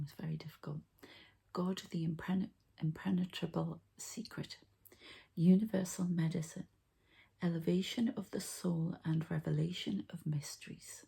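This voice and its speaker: A woman softly whispering an ASMR healing ritual, breathy and halting, as if thinking aloud. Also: lowish voice. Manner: unhurried, halting, thinking aloud while handling objects. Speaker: host, energy healer and card reader.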